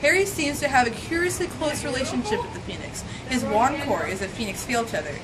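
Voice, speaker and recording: A woman's voice: high voice, expressive, fairly quick, over a noisy crowd, poor audio. A woman giving a dramatic, emphatic reading of a scripted essay in a mock-serious tone. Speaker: reader of a fan zine.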